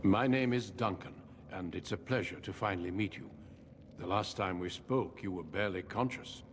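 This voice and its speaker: A man voicing a calm, grave veteran human warrior in a video game, speaking in a measured, formal, courteous way. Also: low voice, close to an everyday voice. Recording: echoey cave reverb, noisy ambience behind.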